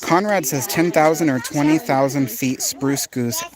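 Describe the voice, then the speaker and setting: A man's voice: fairly high pitch, unhurried, crowd noise. A man from Southern California with a Southern Californian accent hosts a casual live stream outdoors in a park. He reads viewer comments aloud and answers them in an easygoing, chatty way. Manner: easygoing, chatty, with frequent fillers and restarts.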